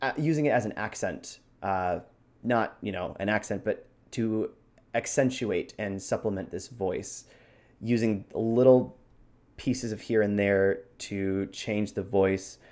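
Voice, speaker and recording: A man's voice: on the low side, a little slow, a little mellow. A man hosting a show, explaining techniques in a casual, encouraging and instructional way. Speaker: show host giving role-playing tips.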